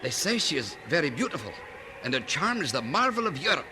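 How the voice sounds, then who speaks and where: Very animated, vain young lord. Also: male voice, on the high side, brisk, background noise. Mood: eager. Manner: animated, boastful. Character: vain, excitable Scottish lord planning a royal marriage. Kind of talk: audio drama acting.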